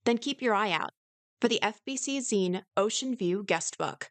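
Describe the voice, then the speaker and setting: A woman's voice: medium pitch, animated, moderate pace, dry recording, close to an everyday voice. A woman playing a radio sponsor announcer, reading a scripted promotional spot.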